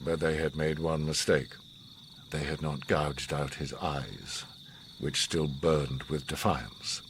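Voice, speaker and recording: An actor playing a grave, commanding, enigmatic older war survivor in a radio drama, speaking in a measured, solemn, philosophical way. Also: male voice, a bass voice, a little hiss or hum, full character voice. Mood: grave, restrained. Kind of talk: radio drama monologue and dialogue.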